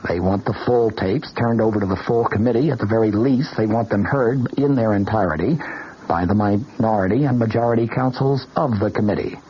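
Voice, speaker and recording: A man giving formal, measured news commentary in the style of 1970s radio. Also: on the low side, warm tone, husky. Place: radio studio. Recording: muffled, faintly noisy vintage radio broadcast.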